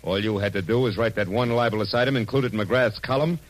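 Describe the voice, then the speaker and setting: An actor playing a tough, wisecracking private detective, clipped and sardonic, in 1940s radio drama. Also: male voice, lowish voice, quick pace, lightly acted. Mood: weary, wry. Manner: clipped, sardonic, hard-boiled. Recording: old radio broadcast recording with music.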